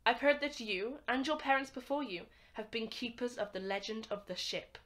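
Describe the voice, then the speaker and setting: A woman's voice: fairly high pitch, normal speed, fairly bright tone, close to an everyday voice. A woman acting an earnest, formal young male cadet scientist in an amateur radio drama.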